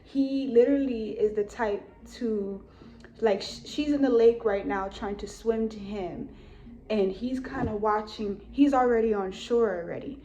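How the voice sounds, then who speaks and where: A woman improvising a spiritual tarot reading for an audience, speaking in halting phrases and often tagging statements to check the listener is following. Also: a little high, steady tone, mellow, a little hiss or hum. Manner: halting phrasing, punctuated with repeated checking tags. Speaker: tarot reader and psychic channeler.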